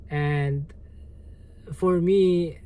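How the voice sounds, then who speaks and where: A man with a Korean accent, a native Korean speaker, hosting a livestream and answering viewer comments in a halting, hesitant way with lots of fillers. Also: on the high side, fairly even intonation, warm tone. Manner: slow, halting, many fillers and restarts. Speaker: livestream host.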